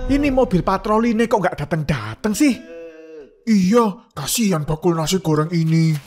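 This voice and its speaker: A man voicing an impatient night patrolman in a horror cartoon, complaining loudly. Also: high-pitched, lively intonation, normal speed, pitched above the performer's own voice. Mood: grumbling. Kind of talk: comic horror cartoon voice acting.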